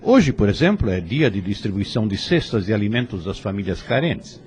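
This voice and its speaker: A man's voice: on the low side, very animated, brisk, darkish tone. A Brazilian man playing a serene, fatherly spirit instructor in an audio drama, speaking formally and consolingly as he teaches. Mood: calm, instructive.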